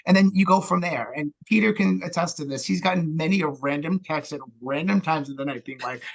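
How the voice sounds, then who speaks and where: An American man chatting casually as an interview guest, animated and haltingly thinking aloud. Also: a little high, vocal fry, poor audio. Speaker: actor, director and playwright, podcast guest.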